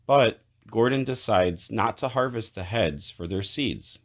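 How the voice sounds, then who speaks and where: Deep-voiced man reading a storybook aloud. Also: expressive, unhurried. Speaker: children's book read-aloud narrator. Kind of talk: read-aloud storybook narration.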